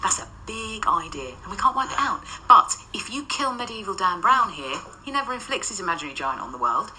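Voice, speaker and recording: An actress in a radio drama playing a jaded, flippant paranormal investigator with a wry, offhand manner. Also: female voice, mid-range voice, very animated, fairly quick, bright, forceful tone, poor audio. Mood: explaining, impatient. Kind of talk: naturalistic radio drama acting. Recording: faint noise and ambience.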